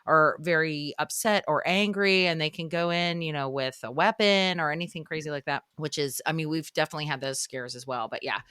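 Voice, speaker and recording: A woman podcast host chatting casually and lively with a guest, using lots of filler words and laughing along. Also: sits low, brisk, few pauses, clean tone, dry recording, studio quality. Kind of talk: casual interview chat.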